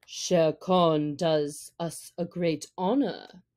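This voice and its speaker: Woman as a low, steady father wolf. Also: very slow. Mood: proud, firm. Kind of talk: storybook narration character voice.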